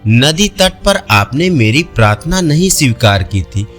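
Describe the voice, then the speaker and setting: A man's voice: a little high, sing-song, a little slow, a bit bright, faint music behind. A man voicing a wealthy, generous older landlord in a storybook, speaking persuasively.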